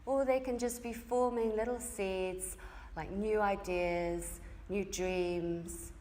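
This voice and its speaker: A woman leading a guided meditation as a yoga teacher, telling stories in gentle, unhurried short phrases, recorded in a reverberant studio. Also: mid-range voice, fairly even intonation, slight background noise. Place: indoor yoga space during a group evening session.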